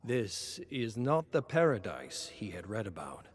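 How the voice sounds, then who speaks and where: A man narrating a scripted tale in a dramatic, brooding, theatrical way, often dropping into hushed tones. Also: on the low side, very animated, measured pace.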